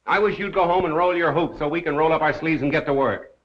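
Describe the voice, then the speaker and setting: An actor playing a suave amateur detective and broadcaster in a 1930s film, urbane, unruffled and dryly ironic. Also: male voice, fairly high pitch, fast talker, lightly acted. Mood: wry, unruffled. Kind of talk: 1930s Hollywood film acting. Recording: dull 1930s film soundtrack.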